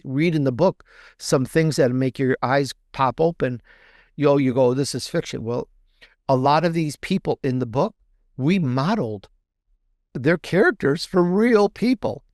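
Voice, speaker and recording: A man being interviewed as an author, explaining his storytelling off the cuff in a halting way, repeating words as he searches for phrasing. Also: medium pitch, no echo, clean studio sound. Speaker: interview guest, fiction author.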